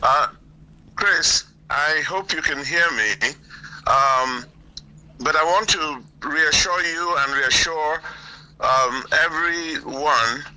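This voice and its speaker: A man giving earnest, deliberate political commentary on a call, repeating phrases for emphasis. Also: on the high side, pushed and bright, poor audio. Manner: halting, deliberate, emphatic repetition. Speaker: guest caller, political commentator. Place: remote call.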